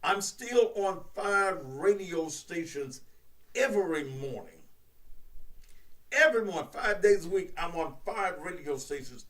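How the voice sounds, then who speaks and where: A man in his sixties, a radio host and preacher, talking in a slow, emphatic preaching cadence. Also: lively intonation, a bit bright, distant mic. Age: 60s. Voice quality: baritone. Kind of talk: preachy live-stream talk.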